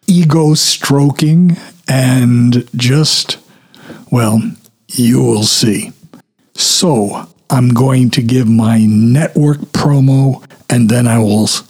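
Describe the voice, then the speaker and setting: A man hosting a podcast, telling an unscripted story in a chatty, descriptive way, with a scratchy, raspy throat today. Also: medium pitch, darkish tone. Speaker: podcast host.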